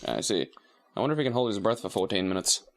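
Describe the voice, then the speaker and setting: A man's voice: lowish voice, big pitch swings, quick pace. Wry, self-deprecating man joking over gameplay. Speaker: co-host of a video game commentary.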